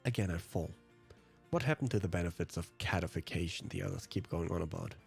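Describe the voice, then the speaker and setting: A man's voice: sits low, brisk, gravelly, a little mellow, faint music behind. A man whose native language is German, hosting a let's play and reading visual novel narration aloud.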